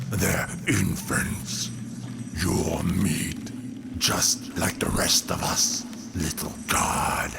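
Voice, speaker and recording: A man voicing a sadistic alien god-killer villain, gravelly and menacing, taunting slowly and deliberately in a dramatic comic book reading. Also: full character voice. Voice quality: gravelly, rasping. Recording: loud rumbling ambient noise behind.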